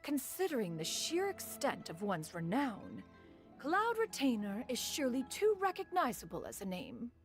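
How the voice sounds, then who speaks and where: Haughty ancient bird spirit, lofty archaic speech, lively pitch swings, soft music behind. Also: female voice, normal speed. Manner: lofty and archaic, refers to herself as "one". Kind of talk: video game voice acting.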